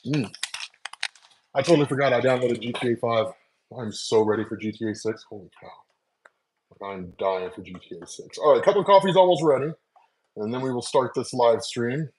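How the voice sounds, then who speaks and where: A man's voice: medium pitch, expressive, normal speed, long pauses, a little mellow, rough recording. 40ish dude rambling to his live chat, laughs a bunch, lots of likes and uhs, earbud mic. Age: 40s. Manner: loose, chatty, laughs often, many fillers. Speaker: comics channel host. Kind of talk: casual live-stream chat with viewers. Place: at home making coffee. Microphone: wireless earbuds.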